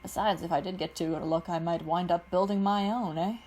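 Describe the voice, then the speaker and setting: A woman's voice: fairly low pitch, fairly even intonation, brisk, darkish tone, close to an everyday voice. A woman voicing a kind, wry middle-aged father who is a scientist.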